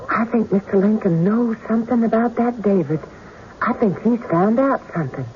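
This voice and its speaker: A woman's voice: mid-range voice, normal speed, close to an everyday voice. An actress playing an anxious, devoted wife of an accused man, fretful and dramatic in old-time radio style. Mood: anxious, hushed. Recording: tinny narrow-band radio recording, faint noise.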